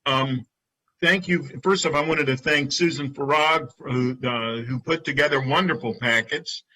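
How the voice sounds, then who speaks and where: Bright, forceful man giving committee remarks. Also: mid-range voice, a little slow, slight background noise, low-quality recording. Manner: emphatic, appreciative. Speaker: council committee chair. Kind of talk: formal meeting remarks.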